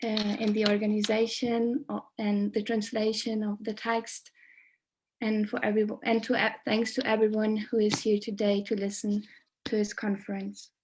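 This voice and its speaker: A woman moderating an online lecture and translating, measured and slightly hesitant. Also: mid-range voice, monotone, noisy background. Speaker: interpreter and moderator.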